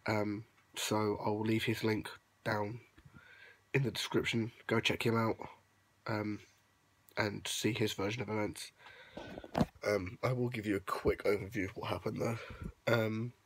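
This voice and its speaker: A young man with a hoarse, worn-out voice, unwell and sniffly, casually recapping in a rambling vlog, lying in his bedroom and talking into a phone held at arm's length. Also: lowish voice, little pitch movement, measured pace, pauses often, rough recording. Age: young adult. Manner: rambling with many ums, upbeat. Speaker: vlogger. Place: bedroom at night, lying on a bed. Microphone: phone held close at arm's length.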